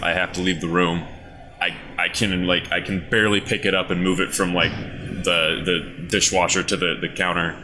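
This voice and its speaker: A man co-hosting a comedy podcast, speaking in earnest, emphatic bursts with halting restarts. His voice is very deep but has a bright, forward edge. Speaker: podcast co-host. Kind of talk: casual comedic chat.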